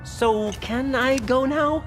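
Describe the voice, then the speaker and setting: An actor playing a nervous, bluffing impostor superhero in a video game, stammering and flustered. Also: male voice, very high voice, takes it slowly. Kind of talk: animated video game acting. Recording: music behind the voice.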